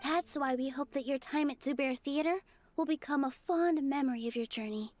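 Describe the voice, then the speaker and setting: A woman voicing a gentle, encouraging young dancer in a video game, speaking sweetly and sincerely. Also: high voice, brisk, no room sound, lightly acted. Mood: warm, grateful. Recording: music faintly behind.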